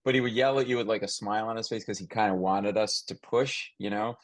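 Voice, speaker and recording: A man with a fairly low voice hosts a casual interview in a dry, close-sounding recording. He is animated and rambling, starting a thought, breaking off and picking it up again from another angle. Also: fast, dry recording. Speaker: podcast host, comedy writer.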